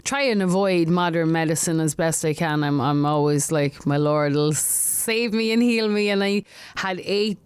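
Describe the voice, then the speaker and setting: A woman's voice: lowish voice, normal speed, clear, resonant tone, no echo. An Irish woman, a singer-songwriter, talking casually as an interview guest, chatty with frequent laughs and restarts. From Ireland.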